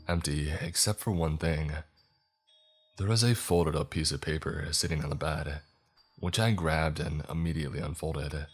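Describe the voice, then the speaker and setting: A man narrates a scripted horror story in the first person. His voice is exceptionally low, and his delivery is dramatic and suspenseful. Faint music plays behind him. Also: breathy edge.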